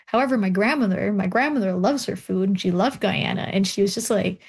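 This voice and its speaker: A young woman, a doctoral researcher, talks as an interview guest about her work and her family. Her storytelling is animated, full of laughs and restarted thoughts. Her pitch sits in the middle. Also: a little fast, breathy edge.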